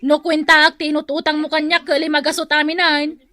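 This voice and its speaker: A woman's voice: high voice, flat delivery, a little fast, pushed and bright. A woman acting a no-nonsense female co-worker with an Ilocano accent in a radio drama, lecturing in exasperation. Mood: exasperated, scolding. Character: scolding, no-nonsense female co-worker who lends money.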